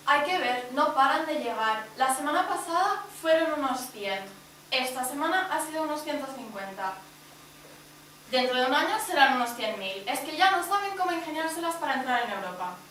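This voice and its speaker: Alarmist gossip, woman actor, high and indignant, heard from a distance in an echoey room. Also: lively intonation, normal speed, pushed and bright, a little hiss or hum. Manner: indignant, exaggerating. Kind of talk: amateur workshop skit. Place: indoor workshop room.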